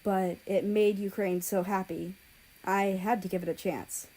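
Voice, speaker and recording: A woman narrates a story as a reader. Her voice sits at an ordinary female pitch, and she delivers scripted prose between lines of dialogue. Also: steady tone, unhurried, mellow. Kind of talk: scripted narration of fan fiction.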